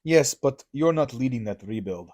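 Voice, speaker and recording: Man acting a mellow-voiced disloyal henchman. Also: mid-range voice, animated, moderate pace, close to an everyday voice. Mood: challenging. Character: disloyal follower of a rival, coolly challenging the king. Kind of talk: dramatized Bible story audio acting.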